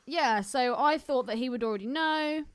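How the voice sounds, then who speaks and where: A woman co-hosting a podcast in casual, irreverent chat, speaking in an expressive, blunt way. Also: high voice, normal speed, clear, resonant tone. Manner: expressive and blunt, prone to exclaiming and giggling. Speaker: podcast co-host.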